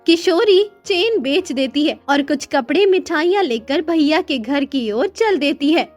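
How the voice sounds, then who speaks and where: High-pitched woman narrating a story. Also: animated, unhurried, darkish tone, soft background music.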